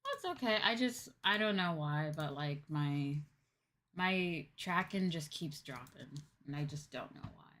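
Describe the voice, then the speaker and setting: A woman's voice: sits low, expressive, a little slow, a bit bright, a little hiss or hum. A woman livestreamer chatting casually and thinking aloud, muttering a little hesitantly to herself. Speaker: VTuber livestreamer.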